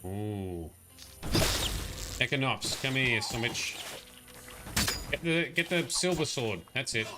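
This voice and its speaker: A man's voice: mid-range voice, lively intonation, slow, pauses often. A man giving chatty, rambling live commentary while he plays a game and talks to his chat. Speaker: streamer. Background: faint game music.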